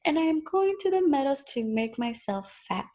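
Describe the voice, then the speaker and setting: Woman as a tiny, high-voiced timid billy goat. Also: sing-song, moderate pace, dark tone. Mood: timid pleading. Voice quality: very small voice. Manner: pleading.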